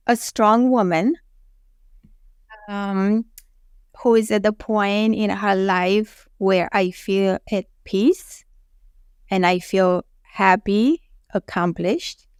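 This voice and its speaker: A woman from Romania, a guest in a casual interview, speaking in a calm, reflective and measured way. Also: mid-range voice, smooth, no room sound, clean studio sound.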